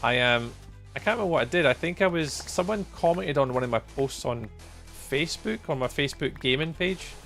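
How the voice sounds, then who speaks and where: Gravelly-voiced Scottish man chatting. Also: a little high, lively intonation, a little fast, fairly bright tone, faint music behind. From Scotland. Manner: rambling and good-humoured, with frequent ums and chuckles. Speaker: gaming livestreamer. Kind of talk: casual chat with live viewers while gaming.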